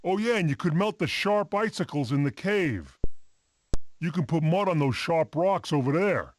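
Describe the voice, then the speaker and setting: An actor voicing an expressive, wisecracking mammoth father-to-be in an animated family game. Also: a little high, moderate pace, slight background noise, close to an everyday voice. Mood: earnest, wry.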